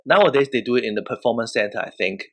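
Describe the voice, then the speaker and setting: A man's voice: medium pitch, expressive, quick pace. A man from Hong Kong, a native Cantonese speaker with a Hong Kong accent, telling stories casually as an interview guest. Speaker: professional wrestler, interview guest. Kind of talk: casual storytelling.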